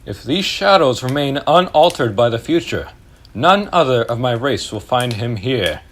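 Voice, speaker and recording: A man's voice: mid-range voice, animated, moderate pace, a little hiss or hum, natural voice. A young man playing a hearty, laughing Christmas spirit on a school stage, who turns grave at times. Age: young adult. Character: booming jovial male Christmas spirit. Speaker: student actor.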